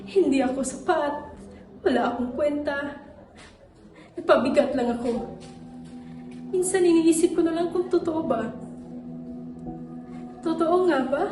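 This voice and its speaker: A young woman acting an exhausted, despairing student, speaking haltingly and repeating her words. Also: high voice, dark tone. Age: young adult. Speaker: student actor. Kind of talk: stage monologue. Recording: echoey room, distant mic, music.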